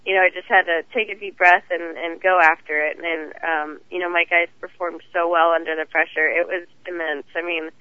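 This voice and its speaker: A hoarse woman with a cold, talking as the guest in a phone interview, chatty and rambling. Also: lowish voice, flat delivery, a little fast, few pauses, no echo. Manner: chatty, rambling, laughs easily. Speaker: guest champion driver. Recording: tinny phone line.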